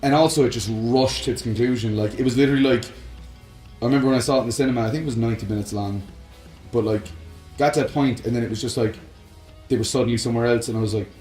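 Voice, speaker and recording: A man's voice: medium pitch, rapid-fire, a little mellow. A man co-hosting a casual, rambling podcast chat, giving his opinions. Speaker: podcast co-host. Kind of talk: casual chat about video game films. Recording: roomy echo, music underneath.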